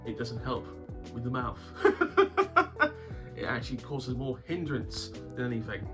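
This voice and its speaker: A man's voice: medium pitch, wildly swooping pitch, measured pace. A man hosting a casual game-collecting vlog. He has a sore throat, a cough sweet in his mouth, and a rambling, wry manner. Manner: rambling, wry, chuckles often. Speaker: YouTube game collector host. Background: background guitar music.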